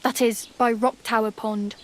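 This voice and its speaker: A woman's voice: fairly high pitch, little pitch movement, moderate pace, close to an everyday voice. An actress playing a frank, teasing and helpful young village woman in a video game. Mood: reassuring. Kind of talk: video game character dialogue.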